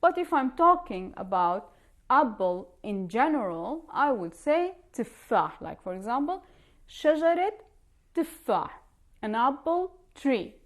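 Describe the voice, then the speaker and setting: A woman's voice: sits high, very animated, unhurried. A woman with a Levantine Arabic accent, a native Arabic speaker, giving an informal language lesson and explaining through examples. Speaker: language teacher.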